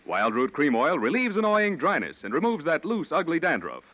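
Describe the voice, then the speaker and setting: A man's voice: on the high side, wildly swooping pitch, brisk. A man with an American accent announcing in polished, emphatic, scripted 1940s radio style. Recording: muffled 1940s radio broadcast.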